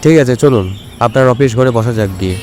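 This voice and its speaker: A man acting a shrewd, commanding older detective in an audio drama, authoritative and measured. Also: mid-range voice, a little mellow, a little hiss or hum, natural voice. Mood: thoughtful.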